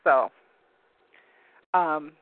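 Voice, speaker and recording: A hoarse man losing his voice, hosting a call-in talk show in a rambling, casual way while reading from web pages. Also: fairly high pitch, very slow, dry recording. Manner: rambling, searching for words with many ums. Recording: narrow phone-like line, dry.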